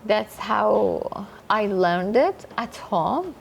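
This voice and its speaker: Woman chatting slowly in an interview, halting english, laughs a lot, faint hum behind. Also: mid-range voice, expressive, creaky, dull audio. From Iran. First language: Farsi. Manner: halting non-native English, laughs often. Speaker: interview guest, social media influencer. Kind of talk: casual interview.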